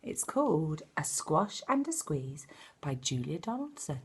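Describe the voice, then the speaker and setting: A woman's voice: deep voice, big pitch swings, unhurried, background noise. A woman reading a storybook aloud as a teacher would, expressively, speaking directly to young children. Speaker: primary school teacher reading to pupils.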